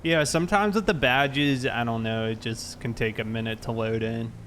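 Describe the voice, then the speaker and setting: A man's voice: mid-range voice, fairly even intonation, normal speed, a bit bright. An American man chatting casually on a livestream while roleplaying as a police officer, in a laid-back way. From South Carolina, United States. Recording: clear background noise, vehicle sounds.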